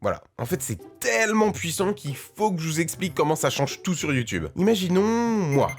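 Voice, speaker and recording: A man with a French accent and a lively, swinging delivery delivers video essay commentary. He is conversational and emphatic, asking rhetorical questions over faint music. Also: a little high, a little fast. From France. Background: faint background music.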